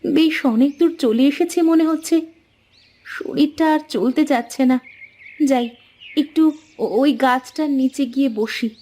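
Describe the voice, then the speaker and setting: A woman's voice: high-pitched, unhurried, pauses often, dark tone. A woman playing a devoted, brave young village wife in a cartoon moral tale, speaking in an earnest, dramatic way. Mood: anxious, searching. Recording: music under the voice.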